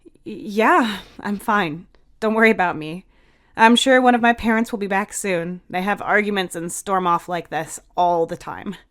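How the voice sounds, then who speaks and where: A woman's voice: medium pitch, moderate pace, close to an everyday voice. Woman acting frazzled, defensive young woman. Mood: defensive, snapping. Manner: flustered, blurting.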